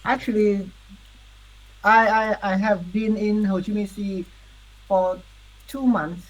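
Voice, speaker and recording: A woman, an English student speaking in halting, hesitant learner English. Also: medium pitch, steady tone, poor audio. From Vietnam.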